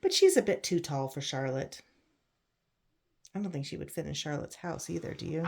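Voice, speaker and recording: A woman reads a children's picture book aloud, pausing to explain simply and put questions to her young listeners. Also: deep voice, animated, creaky, darkish tone. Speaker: read-aloud storyteller for children. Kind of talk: picture book read-aloud with chatty asides.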